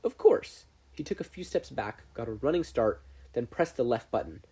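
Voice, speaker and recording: A narrator reading a fan fiction story aloud in an expressive scripted style. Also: mid-range voice, fairly quick, husky. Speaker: podfic narrator.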